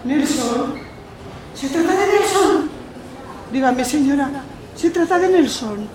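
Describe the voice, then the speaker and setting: An anxious, pleading woman in a radio play. Her high voice comes from far off in a very echoey space, with crowd murmur behind. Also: expressive, takes it slowly. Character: anxious, pleading woman awaiting hospital news. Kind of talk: radio drama acting.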